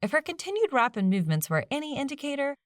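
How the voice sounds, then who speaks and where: A woman's voice: high voice, sing-song, fast, no echo, clean studio sound. A woman reading a fiction audiobook as a scripted narration.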